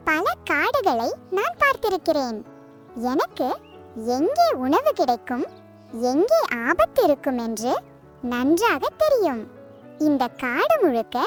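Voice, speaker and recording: Very high, childlike boastful crane. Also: female voice, heavily acted. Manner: boastful, persuasive. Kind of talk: scripted children's story acting.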